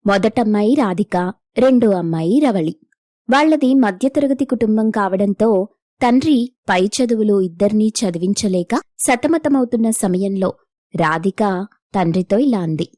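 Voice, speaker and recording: A woman's voice: mid-range voice, lively intonation, unhurried, mellow, no room sound, clean studio sound. A woman storyteller reading a scripted moral story as narrator.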